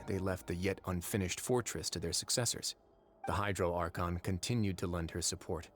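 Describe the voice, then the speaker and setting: An actor playing a composed, wry young duke in a video game, speaking in a deliberate, measured storytelling manner. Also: male voice, fairly low pitch, close to an everyday voice. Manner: deliberate, dryly wry, unhurried. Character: composed, wry young duke who runs an underwater prison fortress.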